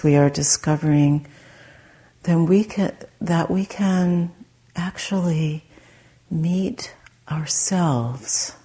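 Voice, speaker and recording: A woman meditation teacher leading a guided meditation in a soft, breathy, gentle way with long pauses. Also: sits low.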